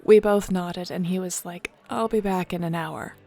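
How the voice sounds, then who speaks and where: A woman acting in an audio roleplay as a warm young shapeshifter clan leader, talking casually and sincerely. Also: on the low side, moderate pace, vocal fry, soft background music. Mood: amused, reminiscing. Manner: casual, sincere, conversational storytelling.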